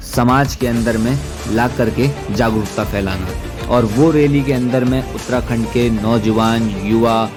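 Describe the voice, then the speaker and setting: A man presents a political explainer as an activist, speaking earnestly in formal diction. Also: medium pitch. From Uttarakhand, India. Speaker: activist commentator. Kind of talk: political explainer to camera or voice-over.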